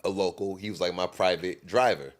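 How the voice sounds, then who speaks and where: Man, livestream co-host, very deep voice, easygoing and chatty. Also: steady tone, fairly quick. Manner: easygoing, chiming in with short agreements. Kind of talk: casual chat on a livestream.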